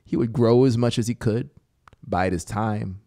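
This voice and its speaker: A man in his thirties reading a story as an audiobook narrator, deliberate and theatrical, close to a studio microphone. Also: on the low side, fairly even intonation, dark tone, no room sound. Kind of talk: dramatic scripted story narration. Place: small home music studio, indoors. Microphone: close studio microphone with headphones. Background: music soundscape.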